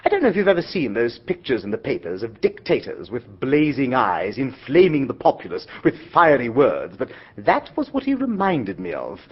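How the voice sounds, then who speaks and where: An actor playing a jaunty, easily flustered young gentleman who narrates and talks in a radio drama. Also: medium pitch, moderate pace, few pauses. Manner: jaunty, animated, breathy. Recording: dull lo-fi audio.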